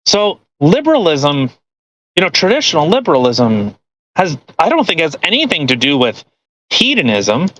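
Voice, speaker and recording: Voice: medium pitch, huge pitch swings, moderate pace, fairly bright tone, no room sound, rough recording. Crude, mocking podcast co-host. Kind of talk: casual commentary while reading a post aloud.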